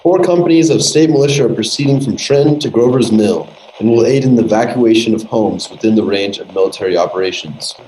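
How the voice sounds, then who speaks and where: A man's voice: on the low side, a little fast, few pauses, a little mellow, lightly acted. A man playing a stern army general in a radio play, formal and authoritative. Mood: stern, official. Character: stern, official army general issuing orders. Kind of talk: radio drama acting. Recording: echoey room, faint noise.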